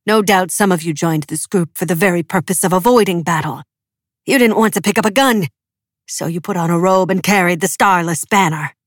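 A woman's voice: on the low side, fairly quick, dry recording, studio quality, natural voice. A woman voicing a ruthless male preacher from a storybook, declaiming firm and commanding.

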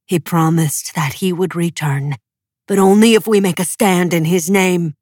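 A woman's voice: fairly low pitch, measured pace, a little mellow, no echo, studio quality. A woman voicing a ruthless male preacher from a storybook, declaiming firm and commanding.

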